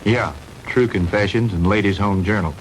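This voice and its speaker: An actor playing a calm, matter-of-fact man investigating a disappearance in a 1960s B-movie. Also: fairly low pitch, moderate pace, natural voice. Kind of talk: 1960s B-movie film acting. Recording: noisy old 1960s film soundtrack.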